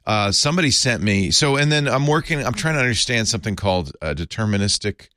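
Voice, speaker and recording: Man, podcast co-host, low voice, lively pitch, dry close mic, casual tech chat. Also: fast, no room sound. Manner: animated, chuckling, explains with asides.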